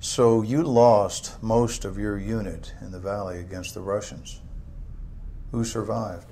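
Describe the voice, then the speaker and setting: An actor playing a controlled government agent interrogator in a game, measured, pointed and coolly sardonic. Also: male voice, fairly low pitch, fairly even intonation, mellow, slight background noise. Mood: quiet, probing. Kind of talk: cinematic game drama acting.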